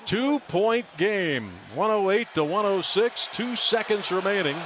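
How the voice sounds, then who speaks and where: A male play-by-play announcer with a fairly high voice works a live basketball radio broadcast from an arena. Loud background noise surrounds him as he emphatically calls the score and the action. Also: lively intonation, a little slow. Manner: emphatic, calling out scores and plays. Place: large indoor basketball arena. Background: loud arena noise, bouncing basketball.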